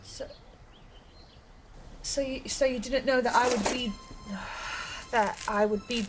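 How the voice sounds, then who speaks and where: A woman playing an anxious but defiant reluctant archivist, speaking haltingly and stammering in a horror drama. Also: fairly high pitch, fairly even intonation, music in the background. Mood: bewildered. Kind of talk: emotional horror audio drama acting.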